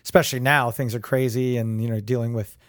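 A man's voice: mid-range voice, fairly even intonation, quick pace, a bit bright. A man hosting a business podcast, upbeat and chatty, interviewing a guest over a remote call with many friendly restarts. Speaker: podcast host. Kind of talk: casual interview chat.

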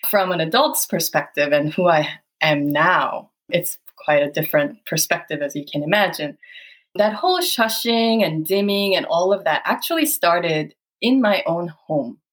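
A woman with a Korean accent speaks in a fairly low voice. She answers interview questions earnestly and thoughtfully. She laughs easily as she recalls her past. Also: moderate pace. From South Korea. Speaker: guest.

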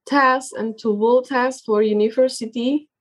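A young woman whose first language is Indonesian, speaking English in a casual interview as the guest and often pausing to search for words. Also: on the high side, fairly even intonation. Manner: halting, searching for words with many fillers.

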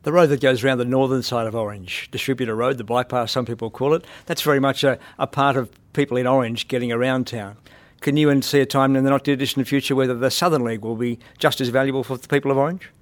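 A man's voice: medium pitch, fairly even intonation, quick pace. A man working as a news reporter, asking interview questions and narrating a local news story.

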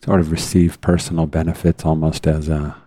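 Very deep-voiced man guiding meditation. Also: flat delivery, mellow, no echo, studio quality. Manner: measured, deliberate, with long pauses. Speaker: meditation teacher leading a guided meditation. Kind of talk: unscripted guided meditation talk.

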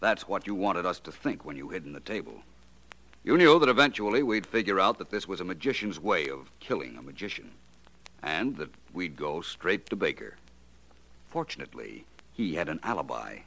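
An actor playing a confident gentleman detective in a 1940s radio drama, explaining a case coolly. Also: deep voice, very animated, a little fast, heavily acted. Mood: assured. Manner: cool, explanatory.

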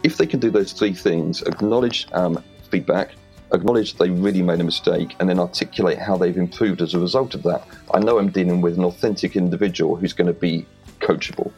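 A Welsh man with a very low voice talks plainly and with confidence as a podcast guest. He gives firm, practical advice, and faint music sits behind him. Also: steady tone, fairly quick, a little mellow, breathy edge. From Wales. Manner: direct, confident, plain-spoken. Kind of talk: interview advice. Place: home in rural Wales.

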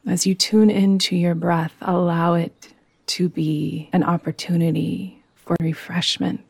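A woman guiding a yoga nidra meditation in a soothing, gentle, unhurried manner. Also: on the low side, little pitch movement, creaky, breathy edge, no echo. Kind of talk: guided meditation.

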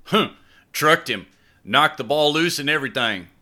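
A man's voice: mid-range voice, normal speed, bright, forceful tone, pitched above the performer's own voice. A man voicing a big, downcast young ex-player who talks offhandedly in an audiobook. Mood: distracted. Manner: casual, offhand, slangy.

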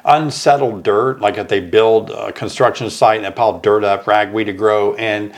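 A man's voice: on the low side, steady tone, normal speed. A middle-aged man from Tennessee, hosting a beekeeping channel and chatting casually in an easygoing, folksy, rambling way. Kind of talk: casual chat.

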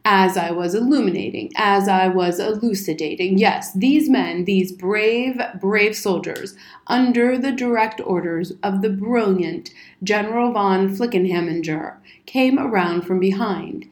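A woman's voice: medium pitch, a little slow, close to an everyday voice. A woman acts out a blustering old soldier from a storybook. He is pompous and wordy, leaning hard on his words, in a room with noticeable echo. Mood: pompous, proud. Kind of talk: storybook character reading. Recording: roomy, echoing indoor sound.

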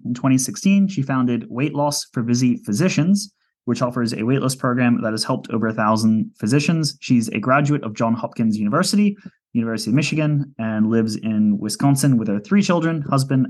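A man's voice: mid-range voice, a little mellow. Upbeat male podcast host. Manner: upbeat introductions with halting restarts. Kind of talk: podcast interview.

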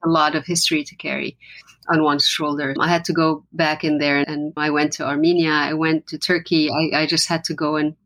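A woman's voice: deep voice, little pitch movement, a little fast, fairly bright tone, low-quality recording. A woman from Lebanon, a musician guest in an interview, talking in an earnest, reflective way with frequent restarts. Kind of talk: conversational interview.

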